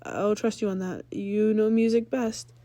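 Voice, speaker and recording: An earnest teenage boy hero in a story, played by a woman. He is unsure and halting. The tone is very soft and dark, in a dry close recording. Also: medium pitch, fairly even intonation, dry recording, close to an everyday voice. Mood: agreeable. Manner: hesitant, halting.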